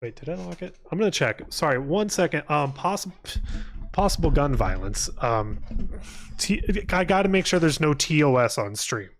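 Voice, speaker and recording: A man's voice: fairly high pitch, animated, moderate pace, slight background noise. A man chatting casually on a livestream, rambling informally and hesitantly, often trailing off mid-thought. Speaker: live streamer. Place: apartment room.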